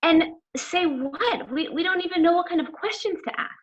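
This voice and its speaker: A woman's voice: very high voice, normal speed, highs cut off. An actress reading an awkward, self-conscious teenage girl at a table read, exasperated and dramatic.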